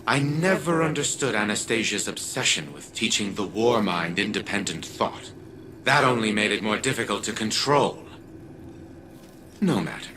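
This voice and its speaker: An actor playing an arrogant older scientist living on as an artificial mind, pompous and formal in a video game. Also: male voice, fairly low pitch, animated, normal speed, sharp tone, slight background noise. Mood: disdainful, imperious. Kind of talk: scripted video game dialogue. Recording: music behind the voice.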